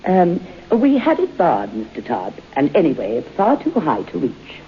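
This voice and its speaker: A woman's voice: deep voice, huge pitch swings, moderate pace. An actress playing the obliging lady of a haunted house in an old radio drama. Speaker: actor. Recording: muffled old radio recording with hiss.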